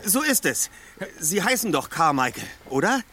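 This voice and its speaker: Brainy teen detective boss, formal wording, animated delivery, audio drama with noise behind. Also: male voice, on the high side, normal speed, exaggerated voice. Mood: insistent. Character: articulate, self-assured teenage boy detective, the leader. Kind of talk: German audio drama acting.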